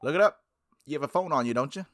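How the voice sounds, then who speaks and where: A man voicing a sweet, frank and gently teasing young woman in a visual novel. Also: on the high side, animated, fast talker, slight background noise. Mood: teasing, blunt. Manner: frank, teasing, matter-of-fact.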